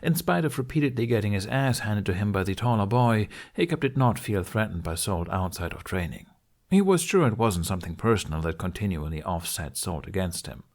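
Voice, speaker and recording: Low-pitched male audiobook narrator. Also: lively intonation, brisk, darkish tone. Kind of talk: scripted story narration.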